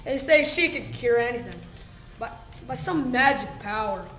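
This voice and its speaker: A woman's voice: fairly high pitch, expressive, normal speed, a bit bright. A teenage girl acting small supporting parts in a school radio play. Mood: matter-of-fact. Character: minor radio-play roles: medics, doctor, sailor. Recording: roomy echo, music underneath.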